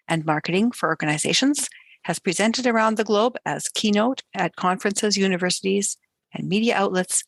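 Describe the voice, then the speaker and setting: A woman's voice: medium pitch, a bit bright, no echo. Woman podcast host with a dry, close sound. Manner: measured, formal introduction. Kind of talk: interview host, reading a scripted introduction.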